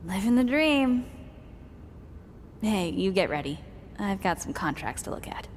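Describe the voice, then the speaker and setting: A woman's voice: mid-range voice, sing-song, a little slow. A woman playing a businesslike, supportive promoter friend in a wrestling game cutscene, speaking casually. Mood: dry, busy. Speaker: voice actor in a wrestling video game. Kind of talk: casual acted cutscene dialogue. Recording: reverberant room, faint noise.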